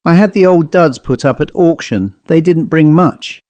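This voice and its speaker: A man's voice: a little high, normal speed, darkish tone, no room sound, pitched above the performer's own voice, natural voice. A man voicing a hard, defiant country housewife from New England in a storybook reading, curt and scornful. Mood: complacent, curt. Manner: scornful, curt, rustic phrasing.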